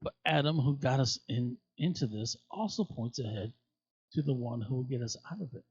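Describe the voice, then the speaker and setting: Male scripture reader, mid-pitched and slightly raspy, deliberate and emphatic delivery, dry but muffled lo-fi sound. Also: lively intonation, no echo. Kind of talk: expressive scripture reading.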